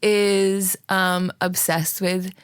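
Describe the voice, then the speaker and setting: A woman's voice: fairly low pitch, level tone, extremely slow, clean tone, dry recording, studio quality. A woman with a New Jersey accent chatting casually and enthusiastically as a podcast guest, with a playful manner. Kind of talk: casual interview chat.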